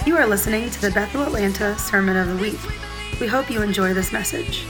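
A woman reading a scripted intro announcement for a sermon podcast in a bright, clear read-out voice. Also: mid-range voice, lively intonation, fast, poor audio. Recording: announcement over loud music.

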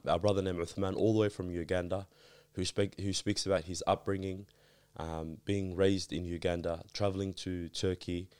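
Man, low voice, podcast host, casual and rambling, dry close sound. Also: smooth, no echo. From Somalia. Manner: relaxed, filler-heavy, says "like" and "type of thing" often. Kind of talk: casual interview chat.

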